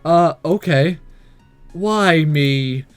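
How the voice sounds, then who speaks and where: A man's voice: a little high, extremely slow, smooth, soft background music. A man voicing an awkward, nervous teenage boy in a visual novel game, hesitant and flustered.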